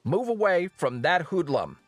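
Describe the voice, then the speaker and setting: A pompous royal chancellor, put on by a male storyteller, issues orders in an officious, commanding way. His pitch rises in a lively storybook villain delivery. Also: slow, no room sound, soft background music. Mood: urgent command.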